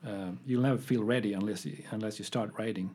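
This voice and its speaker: A man co-hosting a podcast, explaining writing research in a measured way with many pauses and fillers. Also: on the low side, fairly even intonation, a little mellow, breathy edge. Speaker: podcast co-host, academic. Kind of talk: casual academic chat.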